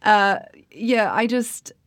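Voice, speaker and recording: A woman's voice: medium pitch, lively intonation, slow pace, dry recording, clean studio sound. A woman from New Zealand, a coffee expert, chatting casually in an interview with lots of fillers and restarts.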